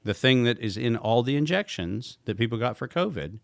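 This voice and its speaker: A man's voice: mid-range voice, lively intonation, brisk, vocal fry, no echo, studio quality. A man speaking as a guest expert in a podcast conversation, talking emphatically and posing rhetorical questions.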